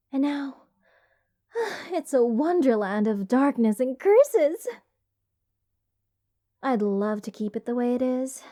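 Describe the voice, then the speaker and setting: A woman performs a curse-loving lady mage in an ASMR fantasy roleplay, sweet and more than a little unhinged. Her voice sits fairly high with a very mellow, soft tone. She rambles at a very leisurely pace, wry and soothing, full of fond endearments.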